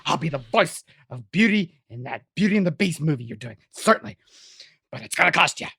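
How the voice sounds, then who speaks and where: A woman playing a vain, volatile Hollywood diva in a comic radio drama, theatrical, husky and domineering. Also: sits low, animated, brisk, fairly bright tone, no room sound, studio quality, heavily acted. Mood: demanding, menacing.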